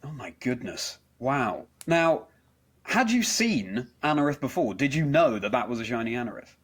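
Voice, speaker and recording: A man's voice: medium pitch, very animated, moderate pace, slightly rough. A man hosting a conversational interview, attentive and encouraging as he reacts and asks follow-up questions. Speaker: podcast host, interviewer.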